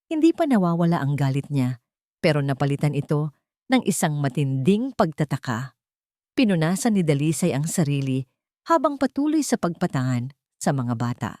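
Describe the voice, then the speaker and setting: A woman's voice: sits low, big pitch swings, moderate pace, a little mellow, no room sound, studio quality. A woman with a Filipino accent reading a scripted audio drama as a dramatic storyteller.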